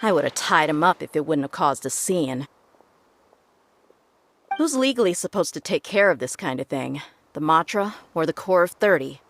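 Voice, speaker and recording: A woman voicing a tough, sharp-eyed young mercenary in a video game, talking in a blunt, slangy, sardonic way. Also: deep voice, animated, normal speed, a little hiss or hum. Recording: music playing behind the voice.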